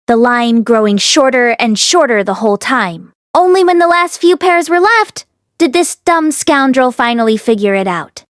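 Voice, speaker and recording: A woman's voice: fairly high pitch, smooth, dry recording, clean studio sound. A woman narrating a novel aloud as the host of a read-along. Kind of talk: expressive story narration.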